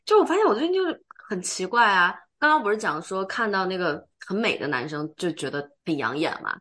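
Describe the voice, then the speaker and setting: A woman's voice: medium pitch, a little fast. A woman with a youthful, sweet voice, chatting animatedly and casually with friends as a podcast host. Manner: animated, talkative, teasing banter. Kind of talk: casual chat among friends.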